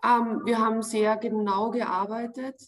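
A woman in her forties with an Austrian accent, answering interview questions from home over a video call. She speaks casually and often pauses with fillers while searching for the right words. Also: fairly high pitch, level tone. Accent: Austrian German. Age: 40s. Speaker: actress, interview guest. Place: home room, indoors. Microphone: video call webcam.

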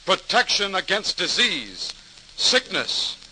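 Piercingly bright, urgent crusading doctor. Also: male voice, high voice, sing-song, slow, full character voice. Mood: urgent, stern. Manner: emphatic, declamatory. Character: earnest crusading doctor rallying the public, stern, urgent. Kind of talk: 1940s radio acting, oratory. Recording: hissy, crackly 1940s radio recording.

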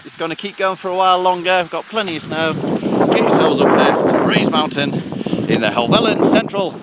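A man's voice: a little high, a little fast. A middle-aged man giving an upbeat, casual snow report to camera on an outdoor ski slope, talking loosely with plenty of fillers. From England. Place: open snowy hillside outdoors. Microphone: action camera on the helmet or held close. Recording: wind and outdoor noise on a small camera.

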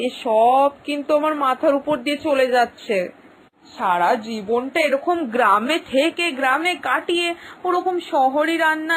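A woman's voice: high voice, slight background noise, low-quality recording. A woman playing a devoted, anxious village mother in a cartoon, earnest and plaintive. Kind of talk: cartoon story acting.

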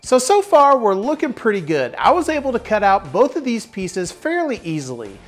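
A man's voice: high-pitched, wildly swooping pitch, moderate pace, faint music behind. A man in his forties hosting a woodworking tutorial, explaining each step in an upbeat, step-by-step way from a large indoor workshop. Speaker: woodworking channel host.

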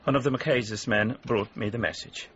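Shaken dealer, radio drama: husky, mid-pitched, terse and subdued. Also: male voice, normal speed, a bit bright, lo-fi sound. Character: grim, shaken art dealer bearing news of death. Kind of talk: naturalistic radio drama acting.

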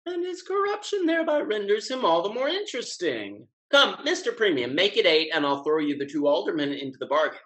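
Man acting exuberant young spendthrift gentleman, higher-pitched. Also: sing-song, brisk, few pauses. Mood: jovial, brisk. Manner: exuberant, declamatory. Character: spendthrift good-hearted young gentleman, carefree and impulsive. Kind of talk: period comedy stage acting over video call. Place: indoors at home, video call.

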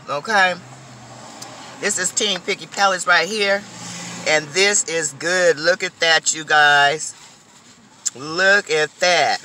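A woman's voice: on the low side, pushed and bright, a little hiss or hum. A middle-aged woman food vlogger chatting animatedly to viewers while eating in a car, recorded on a dashboard phone camera. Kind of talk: casual eating vlog. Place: inside a car.

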